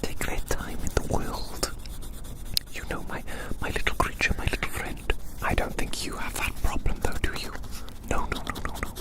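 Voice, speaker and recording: A man speaks entirely in a breathy whisper, as in an ASMR roleplay. His manner is gentle and soothing, like a friendly caretaker. He takes his time and softly repeats short phrases. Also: normal speed. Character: gentle giant human befriending a little creature. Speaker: ASMR performer. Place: outdoors in the grass.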